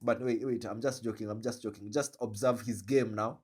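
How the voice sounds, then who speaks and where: A man with a Kenyan accent hosting a reaction video, commenting in an animated, joking way and switching between slang and English. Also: fairly low pitch, fairly quick, slightly rough. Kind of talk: commentary on a played clip.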